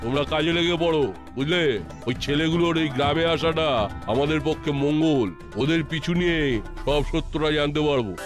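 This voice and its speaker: A man's voice: fairly high pitch, steady tone, fairly quick, over music, lightly acted. A man voicing a scheming ringleader in a cartoon, giving calculated orders to his hired spies. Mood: commanding. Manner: commanding, calculating. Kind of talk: cartoon dialogue.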